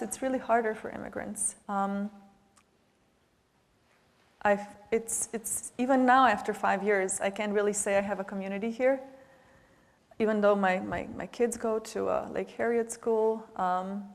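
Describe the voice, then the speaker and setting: A woman's voice: medium pitch, a little slow. A woman in her forties with a Bosnian accent, speaking earnestly as a panelist at a public event, with frequent hesitations and restarts. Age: 40s. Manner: heartfelt, hesitant, many fillers and restarts. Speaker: panelist, nonprofit director. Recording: reverberant event hall.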